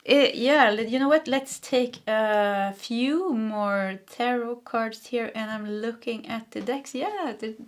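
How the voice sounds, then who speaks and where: A woman's voice: on the high side, slow pace, few pauses. Swedish-accented woman doing a chatty tarot reading. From Sweden. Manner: chatty and searching, with frequent fillers and self-corrections. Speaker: tarot reader. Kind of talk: casual pick-a-card tarot reading.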